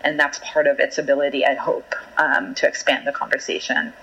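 A woman whose first language is Hebrew, an author speaking in an interview in a thoughtful, hesitant way with many pauses and restarts. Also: extremely low pitch, animated, gravelly, bright, forceful tone, a little hiss or hum. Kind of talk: reflective conversational interview. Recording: dull lo-fi remote audio.

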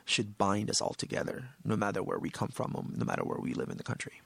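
Guy whose first language is tagalog, gravelly and really animated, dry close sound. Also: fairly low pitch, fast talker, dry recording. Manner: animated, emphatic, laughs often. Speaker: author and guest. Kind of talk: casual conversation.

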